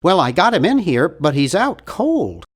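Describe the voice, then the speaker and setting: A nerdy young cartoon game hero, high for a man, timid n fussy, voice goes up and down a lot. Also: big pitch swings, unhurried, slightly rough, full character voice. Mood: fussy, doubtful. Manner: pedantic, prim, fussy delivery. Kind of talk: cartoon adventure game voice lines.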